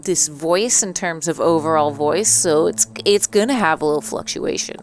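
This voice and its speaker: A woman's voice: low voice, moderate pace. A woman hosting a gaming video, commenting playfully on a story game as she reads its text aloud.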